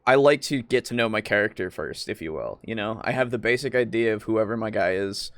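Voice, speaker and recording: A man's voice: lowish voice, lively intonation, brisk, no echo. A man hosting a casual group discussion, talking informally with some loose swearing. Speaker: podcast host.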